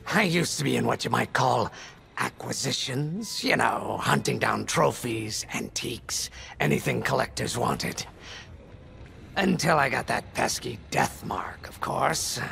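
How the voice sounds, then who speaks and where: A man playing a roguish reptilian alien pilot in a video game, gravelly, sly and folksy, talking like a smooth ex-thief. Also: mid-range voice. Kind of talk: video game character dialogue. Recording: music behind the voice.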